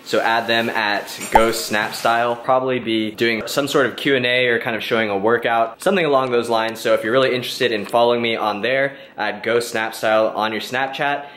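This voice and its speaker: A man's voice: mid-range voice, fairly even intonation, brisk, hardly any pauses, fairly bright tone, a little hiss or hum. A man in his twenties talking casually to viewers as a fitness vlogger, upbeat and chatty, filmed at home and in a gym. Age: 20s. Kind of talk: casual vlog talk to camera.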